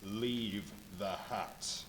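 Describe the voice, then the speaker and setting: A man playing a secretive, commanding gentleman stranger in a live radio play, with clipped formal speech. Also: fairly low pitch, extremely slow. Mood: impatient. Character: curt, secretive, imperious gentleman stranger wrapped in bandages. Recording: live stage show, background noise.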